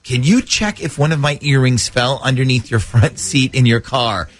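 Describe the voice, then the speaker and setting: A man hosting a morning radio show, bantering in a lively way with a caller and colleagues. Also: medium pitch, moderate pace.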